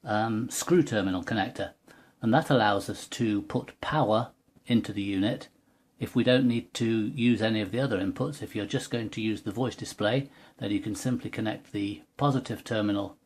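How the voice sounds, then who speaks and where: A man with a fairly low, husky voice presents a technical tutorial. He explains things step by step in a precise, methodical way, as if demonstrating a product by hand.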